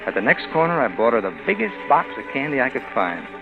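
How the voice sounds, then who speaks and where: A man's voice: medium pitch, big pitch swings, fast, gravelly. An actor playing a greedy, scheming American husband in a vintage noir radio drama, narrating and acting his part. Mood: brooding, calculating. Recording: muffled old radio broadcast with music.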